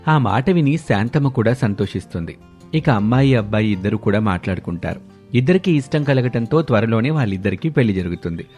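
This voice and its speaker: Low-voiced male storyteller. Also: lively intonation, fairly quick, a little mellow. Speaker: narrator. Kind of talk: scripted storytelling narration. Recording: background music under the voice.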